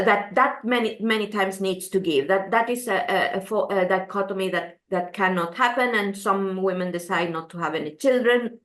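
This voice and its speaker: A woman from Chile, speaking English as an interview guest, answering earnestly with frequent restarts and "you know". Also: mid-range voice. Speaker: gender adviser, interview guest. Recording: roomy echo.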